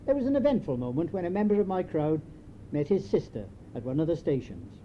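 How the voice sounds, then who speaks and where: An older British man reading his own written memoir in a measured, wry, understated storytelling manner. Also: on the high side, very animated. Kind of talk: scripted memoir narration. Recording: dull lo-fi home tape, faint noise.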